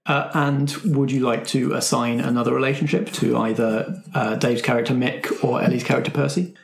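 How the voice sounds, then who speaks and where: Creaky-voiced man hosting, in a roomy space. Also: mid-range voice, steady tone, moderate pace. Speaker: host and game master. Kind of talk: casual tabletop roleplay discussion. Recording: roomy, echoey sound.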